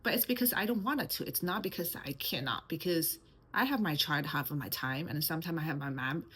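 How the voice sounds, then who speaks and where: A woman's voice: low-pitched, brisk, fairly bright tone, a little hiss or hum. A woman in her thirties from China with a Mandarin accent, talking hesitantly to camera in a personal vlog, with many fillers and restarts. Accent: Mandarin Chinese. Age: 30s. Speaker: channel host.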